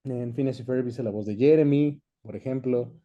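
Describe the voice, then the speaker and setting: A man's voice: mid-range voice, moderate pace, muffled recording. A young Mexican man chatting easily as an interview guest, reminiscing about his work in a casual way. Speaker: guest, voice actor.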